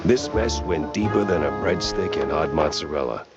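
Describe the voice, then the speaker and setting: An actor playing a world-weary cartoon mouse private eye in a video game, with a low, gruff voice and dry, wisecracking noir delivery. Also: male voice, expressive, normal speed, highs cut off, over loud music. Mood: wry, weary.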